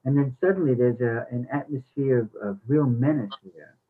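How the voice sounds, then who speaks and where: A man offers casual critique of a play. His mid-pitched voice is rough and husky, heard through thin, phone-like sound. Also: moderate pace. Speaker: play reading participant giving feedback.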